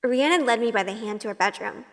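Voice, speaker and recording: A woman's voice: on the high side, fast, in a large hall, lightly acted. A woman playing a sheltered, earnest college-age good girl in a first-person audio drama. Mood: wary reflective. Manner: earnest, unsure.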